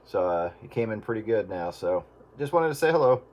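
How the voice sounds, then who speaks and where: A man's voice: on the low side, very animated, a little fast. A man making casual check-in chat as a radio hobbyist. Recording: loud radio static and noise.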